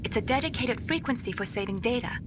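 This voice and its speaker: A woman's voice: on the high side, animated, quick pace, natural voice. A woman playing a chirpy young weapons designer. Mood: explaining. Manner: bright, teasing. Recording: noisy game audio.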